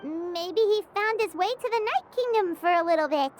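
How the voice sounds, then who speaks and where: A woman voicing a small, excitable, childlike fairy companion in a video game, squeaky and bubbly. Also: moderate pace, faint music behind. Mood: curious, surprised. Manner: bubbly, refers to herself by name. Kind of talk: English video game character acting.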